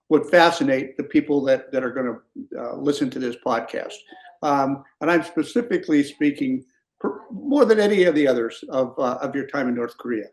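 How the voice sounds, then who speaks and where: A man hosting a podcast interview, asking questions in a halting way with many fillers. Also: on the high side, reverberant, poor audio. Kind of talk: conversational interview.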